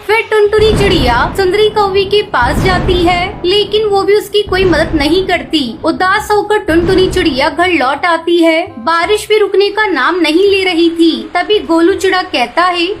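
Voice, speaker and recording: Very high-pitched woman narrator. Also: moderate pace, few pauses, a little hiss or hum. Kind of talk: scripted children's story narration.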